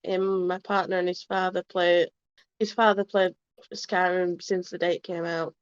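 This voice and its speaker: Smooth-voiced woman, lo-fi. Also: fairly low pitch, level tone, moderate pace, no room sound. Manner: frank, easygoing. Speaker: guest co-host. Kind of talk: casual chat. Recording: dull lo-fi sound.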